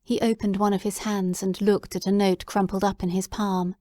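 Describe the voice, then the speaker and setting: A woman's voice: medium pitch, level tone, normal speed, warm tone, no room sound, studio quality. A woman reading scripted story narration as the narrator of an audiobook.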